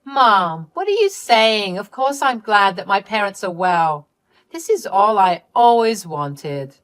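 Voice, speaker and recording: A woman's voice: medium pitch, big pitch swings, slow pace, a bit bright. A woman voicing a spoiled, scheming younger sister in a story, whiny and indignant, with melodramatic pleading and accusing. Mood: panicked pleading.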